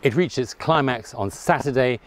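Male host, mid pitch, measured scripted narration for an activist radio show. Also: noisy background. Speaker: podcast host and interviewer.

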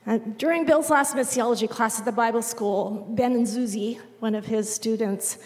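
A woman giving an unhurried missionary report to a congregation, pausing often with "um". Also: fairly high pitch, darkish tone. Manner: unhurried, with frequent "um" pauses. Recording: long reverb of a large hall.

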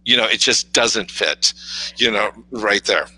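A man's voice: lowish voice, unhurried, sharp tone, no room sound, low-quality recording. A man with an authoritative voice, talking as a podcast guest in an emphatic, conversational, explanatory way. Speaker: podcast guest on mindset and communication.

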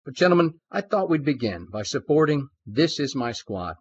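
A man's voice: medium pitch, very animated, moderate pace, slightly rough. A man hosting a formal interview podcast, upbeat and full of praise for his guests. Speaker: podcast host. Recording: dull lo-fi sound.

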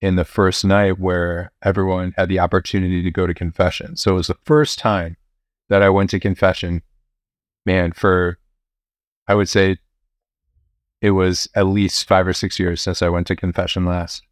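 A young man, a priest, telling his story in a relaxed interview, with fillers and restarts. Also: deep voice, moderate pace, no room sound, clean studio sound. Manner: relaxed, many fillers and restarts, occasional laughs. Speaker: priest and university chaplain.

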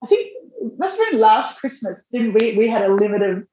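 A woman's voice: a little high, sing-song, fairly quick, dark tone. A middle-aged woman, a magazine editor, talking casually in a podcast interview, laughing often and restarting her sentences. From Australia. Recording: roomy, dull remote audio.

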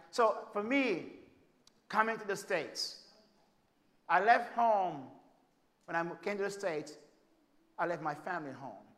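A Jamaican man preaching a sermon, his voice high and ringing bright. He swings from forceful, emphatic declarations down to quiet, hushed storytelling, and the congregation answers him along the way. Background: congregation calling out amens.

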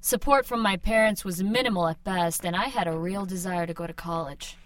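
A woman's voice: fairly low pitch, fairly quick, no echo, lightly acted. A woman playing a hot-tempered, foul-mouthed young vampire slayer in a radio drama, curt and defiant. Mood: reflective.

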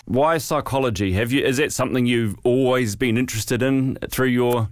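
New male host, mid-pitched voice, scripted intro, friendly questions. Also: normal speed, no room sound. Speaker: podcast host. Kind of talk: podcast interview.

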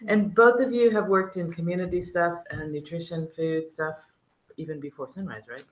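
A woman's voice: on the low side, normal speed, roomy sound, poor audio. A woman hosting a casual talk show interview who laughs often.

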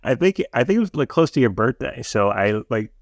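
A man's voice: medium pitch, very animated, fairly quick, dry recording, clean studio sound. A man co-hosting a podcast, telling stories in a rambling way and correcting himself as he goes. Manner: rambling, self-correcting storyteller. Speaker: podcast co-host. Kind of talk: casual chat.

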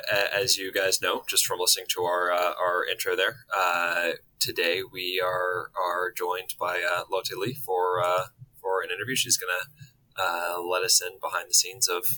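A man co-hosting a casual podcast, relaxed and stumbling through his sentences. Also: low-pitched, low-quality recording. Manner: relaxed, stumbling over restarts. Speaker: podcast co-host.

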